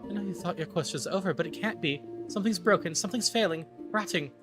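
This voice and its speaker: A man voicing a gentle, grave mystical leader from a video game, pleading and apologetic in manner. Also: high-pitched, quick pace, pitched above the performer's own voice, close to an everyday voice. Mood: sorrowful, pleading. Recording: game music under the voice.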